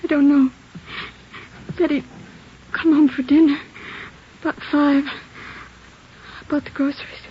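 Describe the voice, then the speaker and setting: An actress playing a killer's weary, devoted wife in a vintage radio crime drama. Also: female voice, high-pitched, little pitch movement, slow, pauses often. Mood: subdued, uneasy. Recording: muffled, noisy old radio broadcast.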